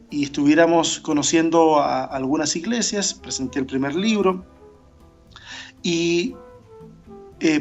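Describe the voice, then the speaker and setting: A man, a pastor being interviewed on the radio, who talks reflectively with frequent fillers and restarts. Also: a little high. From born in Argentina, raised in Chile. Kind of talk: casual interview over a remote call. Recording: dull lo-fi remote line with faint music.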